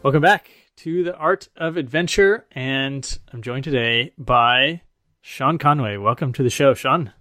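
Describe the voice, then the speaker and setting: A man's voice: mid-range voice, unhurried, pushed and bright, no echo, studio quality. A man hosting a podcast, introducing and interviewing his guest. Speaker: podcast host.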